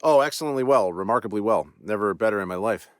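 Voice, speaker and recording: A man's voice: lowish voice, animated, fast talker, clear, resonant tone, no echo, clean studio sound, lightly acted. A man voicing a veteran army general who answers with formal, courteous reserve.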